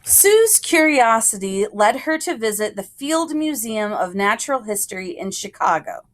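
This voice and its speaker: Slow-paced woman reading a children's story aloud. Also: mid-range voice. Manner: measured phrasing with deliberate pauses. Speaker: reader of a children's picture book.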